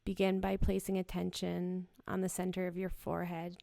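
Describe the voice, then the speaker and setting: A woman guiding a yoga nidra body scan in a gentle, soothing, unhurried manner with short, spaced phrases. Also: lowish voice, monotone, vocal fry, no echo, studio quality. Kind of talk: guided meditation, slow body scan.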